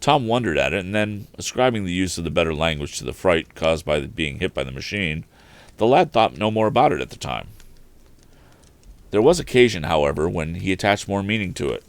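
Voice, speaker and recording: A man with a low voice reads an adventure story aloud. His narration is expressive, with animated rises and falls in pitch, and the sound is dry and close. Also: fairly quick, no room sound. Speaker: host reading a story aloud. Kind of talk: expressive audiobook-style narration of an adventure novel.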